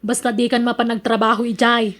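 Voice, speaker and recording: A woman's voice: a little high, flat delivery, fast talker, fairly bright tone, close to an everyday voice. A woman with an Ilocano accent playing a jealous, nagging wife in a radio drama, accusing and sarcastic. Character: jealous, nagging, suspicious wife of a working man.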